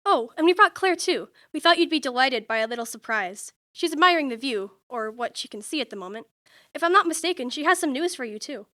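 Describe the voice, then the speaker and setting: A woman's voice: high voice, animated, a little fast, pushed and bright, dry recording, clean studio sound. A woman acting an outspoken, principled young literary woman in a period radio drama, speaking formally and earnestly. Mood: polite, pointed.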